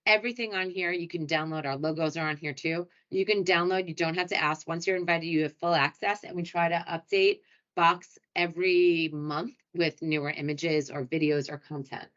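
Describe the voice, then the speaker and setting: A woman with a New York accent talks through a webinar in a chatty, upbeat way and pokes fun at herself. Her voice sits a little low. The sound is muffled and lo-fi, as if it comes through a computer mic on a video call. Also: fairly even intonation, moderate pace, fairly bright tone. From New York City. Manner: chatty, upbeat, self-deprecating. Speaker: hotel sales director presenting a webinar. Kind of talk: casual webinar presentation.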